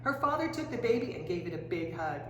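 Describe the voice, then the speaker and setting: Animated woman reading in an echoey space. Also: on the low side. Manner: expressive, dramatic, drawn-out emphasis on key phrases. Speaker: children's storybook reader. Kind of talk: animated read-aloud storytelling. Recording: roomy echoing hall, distant mic, faint noise.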